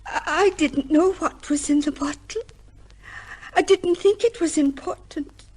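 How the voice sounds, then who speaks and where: Timid young working girl, high voice, halting and slow, meek radio-drama witness. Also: darkish tone. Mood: nervous, hesitant. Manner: hesitant, halting, stammering. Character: timid, deferential young working woman giving testimony. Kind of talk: old-time radio drama acting.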